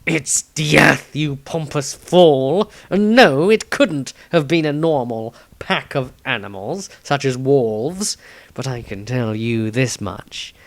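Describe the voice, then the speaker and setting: Male narrator as stern cold man, clinical, superior, contemptuous, dark fantasy audiobook. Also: medium pitch, lively intonation, slow pace, creaky. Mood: snarling, contemptuous.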